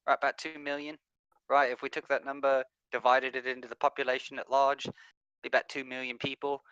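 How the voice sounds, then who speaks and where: A man's voice: medium pitch, level tone, fairly quick, sharp tone, smooth, no echo, dull audio. A man in a heated online debate over voice chat, talking over his opponent and restarting his sentences as he argues. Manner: talks over the other side, repeats and restarts under pressure.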